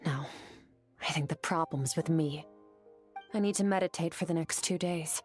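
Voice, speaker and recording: A woman's voice: low-pitched, moderate pace, a little mellow, soft background music, natural voice. A woman playing a stoic, aloof young swordswoman in a video game, terse, cool and restrained. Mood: cool, matter-of-fact. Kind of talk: video game character dialogue.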